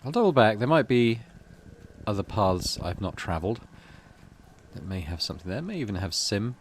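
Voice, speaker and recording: A man's voice: on the low side, big pitch swings, moderate pace, slight background noise. A middle-aged man hosting a game playthrough, commenting casually and reading the story text aloud. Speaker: gaming video host.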